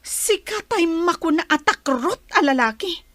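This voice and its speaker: A woman acts out a young village woman with an Ilocano accent, wounded and resentful. Her voice is very high, and the sound is close and dry, in radio drama style. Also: lively intonation, unhurried, fairly bright tone, no room sound, full character voice. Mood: bitter, accusing.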